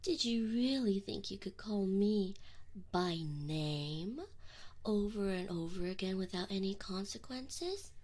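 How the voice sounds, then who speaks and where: A woman's voice: mid-range voice, animated, slow, darkish tone. A woman voicing a teasing, flustered but affectionate succubus in an intimate ASMR roleplay, close to the microphone with whispered asides. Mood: teasing, smug. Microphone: close binaural microphone.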